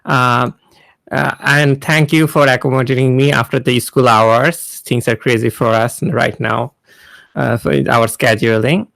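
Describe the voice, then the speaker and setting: A man's voice: mid-range voice, fairly even intonation, unhurried, vocal fry, fairly bright tone, no room sound. A man in his thirties, from Bangladesh and speaking with a Bangladeshi accent, gives a casual, hesitant talk to students over a video call, with many fillers and restarts. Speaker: guest speaker, NASA engineer.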